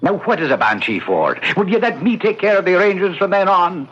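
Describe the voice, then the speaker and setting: A man's voice: high voice, sing-song, fast, fairly bright tone, slightly rough, heavily acted. An actor playing a sly old Irish ghost uncle in a radio drama, wheedling and impatient, repeating his words. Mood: sly, coaxing. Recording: noisy dull old radio recording.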